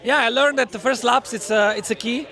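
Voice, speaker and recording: A man racer giving a press day interview outdoors, speaking haltingly and searching for words. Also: very high-pitched, creaky, sharp tone. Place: outdoors at a race track. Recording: outdoor crowd noise.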